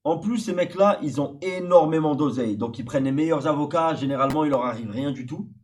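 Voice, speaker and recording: A man's voice: fairly high pitch, brisk. A man from France, older than his host, chatting casually and explaining at length with many hesitations. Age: adult older than the host. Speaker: stream guest. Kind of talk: casual chat.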